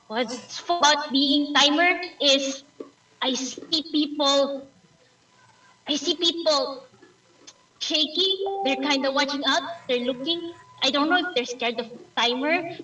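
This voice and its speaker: A woman's voice: high voice, bright, forceful tone. A Filipino woman whose first language is Tagalog, giving a playful timer's report with theatrical asides over a video call. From Philippines. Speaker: meeting timer. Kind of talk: online club meeting report. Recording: echoey room, distant mic.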